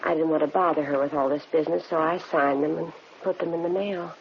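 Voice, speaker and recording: A creaky genteel housekeeper, polite but dodgy, muffled old radio drama. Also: female voice, low voice, steady tone, fast talker. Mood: evasive, apologetic. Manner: genteel, hesitant, smooth excuses. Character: polite, evasive female housekeeper and parolee. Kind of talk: 1950s radio drama acting. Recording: muffled old radio recording, faint noise.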